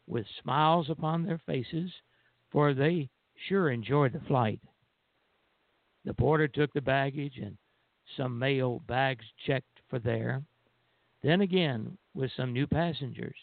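Slow, gravelly man on an echoey phone line. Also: medium pitch. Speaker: radio show co-host. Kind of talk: poem recitation, then casual show talk. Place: call-in internet radio show over phone. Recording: band-limited phone line with echo.

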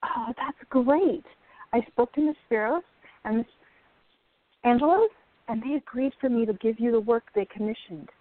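A woman's voice: fairly high pitch, normal speed, pauses often, close to an everyday voice. An actress playing a supportive, teasing young woman in a radio play, on a thin recording. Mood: teasing, encouraging. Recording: thin, phone-like audio.

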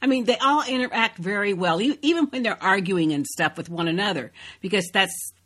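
An enthusiastic woman co-hosting a podcast, quick to agree with the guest. She asks curious questions in a mid-pitched voice. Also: a bit bright. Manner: enthusiastic, affirming, many "yeah"s. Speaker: podcast co-host. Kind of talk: casual interview chat.

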